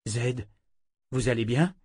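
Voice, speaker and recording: A man's voice: medium pitch, slow, a little mellow, husky, dry recording, studio quality. A man voicing an anxious, earnest young woman inquisitor in an audiobook, pleading. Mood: worried. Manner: anguished, pleading. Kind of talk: audiobook character voice.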